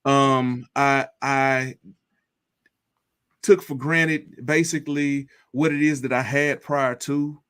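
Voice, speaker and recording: A man with a mid-pitched voice gives personal testimony as an interview guest. His delivery is earnest and deliberate, with a reflective way of laying out his thoughts. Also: fairly even intonation, fairly bright tone, clear, resonant tone. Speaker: guest telling his recovery story.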